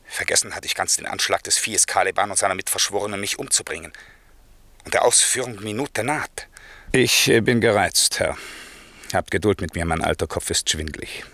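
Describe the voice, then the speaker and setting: Deep-voiced, solemn old magician. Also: male voice, creaky, pushed and bright, no room sound, exaggerated voice. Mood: agitated, weary. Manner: solemn, declamatory, deliberate. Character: stern, commanding old magician and protective father. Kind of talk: theatrical German radio play verse.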